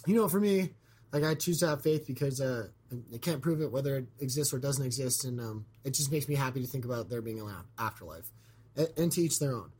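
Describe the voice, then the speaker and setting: A man hosting a podcast in casual chat, his voice scratchy and a bit hoarse from being sick, talking in a rambling way with many fillers and restarts. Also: medium pitch, fast talker, a little mellow. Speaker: podcast host.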